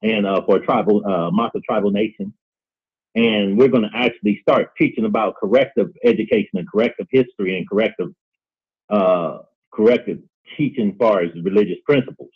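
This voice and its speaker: A husky-voiced man talks earnestly in an open discussion. He moves haltingly, repeating words as he builds his point, and the sound is dull and lo-fi, like a call-in line. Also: on the low side. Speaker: guest on a call-in discussion show.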